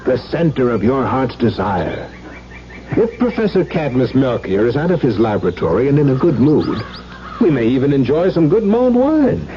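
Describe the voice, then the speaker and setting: A man's voice: mid-range voice, very animated, normal speed, natural voice. A man acting an urbane, skeptical baron in a radio drama, with a formal, teasing, arch manner. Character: urbane, wry baron, skeptical gentleman cousin. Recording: muffled 1970s radio broadcast with noise.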